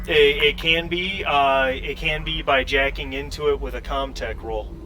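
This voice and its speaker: A man's voice: fairly high pitch, fairly even intonation, unhurried. Man game master over noticeable background noise. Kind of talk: narrating a tabletop roleplaying game. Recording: clearly noisy background.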